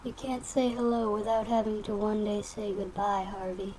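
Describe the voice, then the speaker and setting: An actress playing a cool, worldly femme fatale in a noir detective audio drama, speaking in a dry, measured, theatrical way. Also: female voice, mid-range voice, level tone, darkish tone, a little hiss or hum, close to an everyday voice, poor audio. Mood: world-wise.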